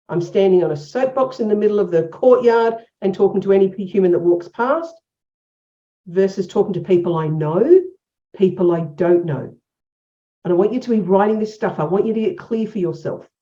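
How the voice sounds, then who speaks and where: A woman with an Australian accent leading an online workshop as a coach, energetic and encouraging, asking many questions. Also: mid-range voice, dull audio. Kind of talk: interactive online workshop teaching. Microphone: video call.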